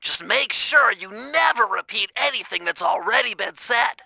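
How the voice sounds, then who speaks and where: Brief: a nerdy young turtle inventor for a game, high, bright and gravelly-edged, fussy and precise. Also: male voice, no echo, heavily acted. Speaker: game character voice. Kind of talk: video game voice lines.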